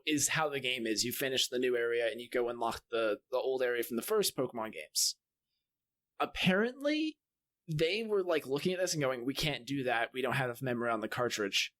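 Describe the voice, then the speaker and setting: A man's voice: medium pitch, a bit bright. A man co-hosting a podcast, telling stories in a casual, conversational style. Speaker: podcast co-host. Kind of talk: casual chat, storytelling.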